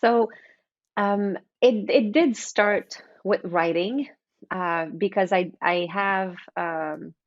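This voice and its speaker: A chatty woman whose first language is French talks as a guest in an interview, restarting sentences as she goes. Her voice sits at a middle pitch, close and dry. The sound is a little dull. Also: slow pace, no echo. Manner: chatty, with frequent restarts and acted-out inner thoughts. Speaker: guest author. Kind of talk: casual interview chat.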